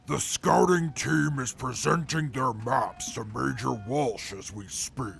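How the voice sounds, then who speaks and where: A man playing a proud, bookish interviewer in an audio drama, speaking in a pompous, formal, measured way. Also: medium pitch, vocal fry, fairly bright tone, slightly rough, soft background music, full character voice. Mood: haughty. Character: haughty, proud, bookish male radio interviewer with a temper.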